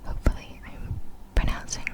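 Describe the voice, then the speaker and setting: A woman whispering ASMR narration of facts in a breathy, fully unvoiced whisper, with careful, deliberate phrasing. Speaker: ASMR creator.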